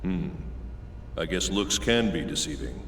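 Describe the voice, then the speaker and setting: A man voicing a world-weary military cyborg in a video game, terse, deadpan and matter-of-fact. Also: deep voice, a little slow. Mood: businesslike. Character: stoic world-weary adult male military cyborg rescuer. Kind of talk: English video game dub acting. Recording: loud noise and sirens behind voice.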